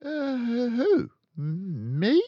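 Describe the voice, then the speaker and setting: A man's voice: extremely high pitch, huge pitch swings, very slow, dry recording, lo-fi sound, pitched above the performer's own voice, heavily acted. A man voicing a nervous, puzzled eleven-year-old schoolboy in a storybook, protesting hesitantly. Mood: startled.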